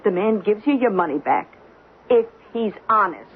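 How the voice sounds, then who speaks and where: Woman playing determined working-class maid, emphatic radio-play acting, narrow phone-like band, clear background hiss. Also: mid-range voice, lively intonation, moderate pace, natural voice. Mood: insistent, urgent. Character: insistent, strong-willed working-class wife and housemaid. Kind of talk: 1970s radio drama acting. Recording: noisy, phone-like old radio recording.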